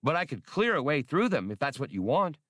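A man voicing a small, eager, boyish computer in an audiobook, with a proud, chirpy, excitable manner. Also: fairly high pitch, brisk, dry recording, studio quality, pitched above the performer's own voice. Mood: helpful, eager.

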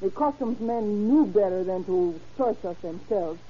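A woman's voice: expressive, unhurried, slightly rough. An actress playing a brazen, self-assured woman smuggler in a 1930s radio crime drama. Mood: smug. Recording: muffled, low-fidelity old radio recording.

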